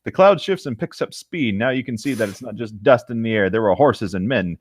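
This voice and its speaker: A man's voice: on the low side, expressive, fairly quick, breathy edge. A man co-hosting a read-along, narrating fan fiction and commenting on it in a playful, casual way. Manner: amused, playful. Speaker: co-host of a fan fiction reading show.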